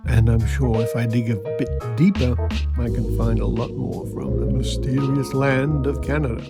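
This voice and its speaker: A man's voice: mid-range voice, normal speed, gravelly, over loud music. A man hosting a podcast, reading out old newspaper clippings with dry, wry asides. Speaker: podcast host.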